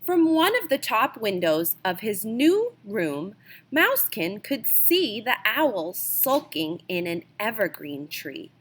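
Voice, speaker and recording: Slow, animated woman reading a storybook. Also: mid-range voice, husky. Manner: unhurried, animated storytelling with dramatic emphasis. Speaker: storybook reader. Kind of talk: expressive read-aloud of a children's picture book.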